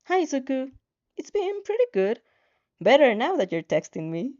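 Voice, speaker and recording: A woman's voice: high voice, sing-song, normal speed, darkish tone, dull audio, pitched above the performer's own voice. A woman voicing a friendly, eager teenage girl in a story, chirpy and casual. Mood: cheerful.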